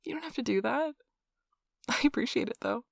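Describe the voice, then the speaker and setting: An affectionate, nerdy young girlfriend , acted by a woman, murmurs in a breathy, lightly creaky voice, recorded very close and dry. She is tender and playfully teasing, rambling softly as in a cozy bedtime ASMR role-play. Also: on the high side, lively intonation, brisk, no echo, full character voice. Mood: affectionate, chatty. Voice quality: breathy, whispery.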